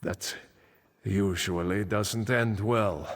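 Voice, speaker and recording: An actor playing a solemn ancient archmage back from death, speaking gravely and formally in fantasy video game dialogue. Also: male voice, lowish voice, fairly even intonation, takes it slowly. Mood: grave, warning. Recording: echoing game hall with long reverb.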